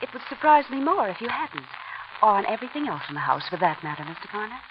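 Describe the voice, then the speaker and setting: Actress as coolly composed widow, vintage radio drama. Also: female voice, medium pitch, very animated, fast, background noise, natural voice. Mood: guarded, composed. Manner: composed, clipped, coolly ironic. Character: cool, intelligent young widow and murderess, composed, narrating her confession. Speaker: lead actress and narrator. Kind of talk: 1940s radio drama acting. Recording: muffled vintage radio recording with music.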